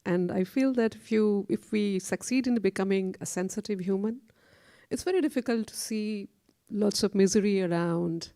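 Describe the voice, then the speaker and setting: A woman's voice: medium pitch, moderate pace, warm tone, no room sound, studio quality. A woman on a discussion panel, answering a question in an earnest, reflective way.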